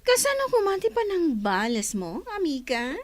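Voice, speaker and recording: An actress playing an inquisitive best friend in a radio drama. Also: female voice, sits high, wildly swooping pitch, normal speed. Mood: probing, surprised.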